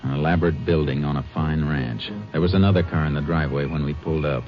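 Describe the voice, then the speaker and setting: A man playing a calm, decisive Texas Ranger in a 1950s radio drama, terse and authoritative as he talks and narrates. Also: a bass voice, quick pace. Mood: measured narration. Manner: terse, matter-of-fact, authoritative. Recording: dull vintage 1950s radio recording with music.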